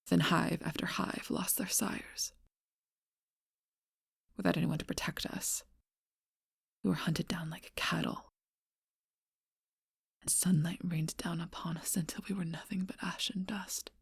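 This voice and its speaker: Woman doing an ancient seductive vampire, close whisper, gravelly, breathy, low and flat. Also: no room sound, full character voice. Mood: mournful whisper. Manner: soft intimate whisper, slow and measured. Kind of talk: whispered ASMR role-play.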